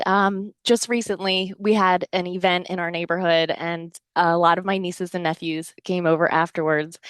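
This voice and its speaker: A woman's voice: on the low side, no room sound. A middle-aged American woman chatting casually and encouragingly, like a podcast host giving a motivational talk. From New Jersey shore, United States. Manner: chatty, encouraging, conversational asides with frequent "right?".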